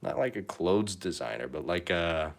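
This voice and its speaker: A man's voice: animated, moderate pace, creaky. Rambling young man painting on a live stream. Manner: rambling, self-deprecating, reads chat comments aloud; pitch rises at phrase ends, upspeak. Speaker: painting livestreamer. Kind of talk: casual live-stream chat while painting.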